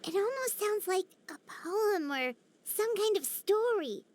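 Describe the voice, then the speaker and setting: A woman voicing a small, chatty, earnest fairy companion in a video game, with a squeaky childlike voice and chirpy delivery. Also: unhurried. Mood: thoughtful. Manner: chirpy, expressive. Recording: music behind the voice.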